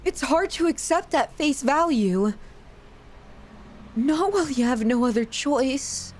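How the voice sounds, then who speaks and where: A woman playing an obsessive, self-loathing young yandere in an intimate ASMR roleplay, with an emotional, halting, pleading delivery. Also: sits high, lively intonation, darkish tone, slight background noise. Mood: tender, melancholy.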